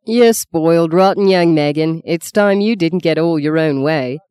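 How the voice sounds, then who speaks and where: A woman's voice: sits low, measured pace, mellow, clear, resonant tone, dry recording. Woman doing a self-assured young male stockman, teasing, dry close sound.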